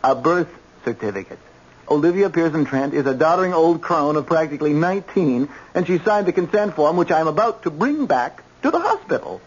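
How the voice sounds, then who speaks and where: An actor playing an earnest, informal hospital doctor with a hesitant, wry manner in an old-time radio drama. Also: male voice, a little high, animated, brisk, husky. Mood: jaunty, triumphant. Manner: hesitant, plain-spoken, wry. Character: earnest young hospital surgeon, informal and persuasive. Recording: muffled old radio recording.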